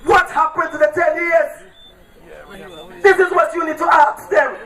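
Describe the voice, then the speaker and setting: A man with an Antiguan accent shouting a political campaign speech at an outdoor rally, hammering repeated rallying cries. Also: very high voice, steady tone, takes it slowly. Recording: echoey, distant, loud crowd.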